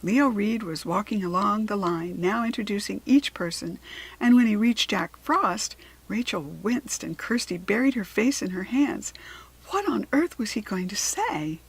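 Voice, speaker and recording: A woman with an American accent giving an expressive, deliberate narration of a children's story. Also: medium pitch, breathy edge, poor audio. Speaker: storybook reader.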